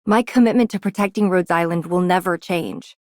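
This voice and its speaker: A woman's voice: on the low side, fairly quick, no room sound, clean studio sound, lightly acted. A woman voicing a steadfast, loyal police protector in a video game, firm and committed. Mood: resolute. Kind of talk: video game character voice lines.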